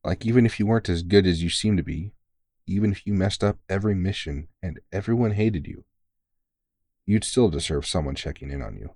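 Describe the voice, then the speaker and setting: A low-pitched man performing as a battle-worn vampire hunter in an ASMR audio roleplay. He is tired but kind, reassuring a rookie with teasing, informal, sweary talk. The sound is dry, with very little room to it. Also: fairly quick, darkish tone, no echo. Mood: encouraging, fatherly. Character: tired, kindly veteran male vampire hunter mentoring a rookie.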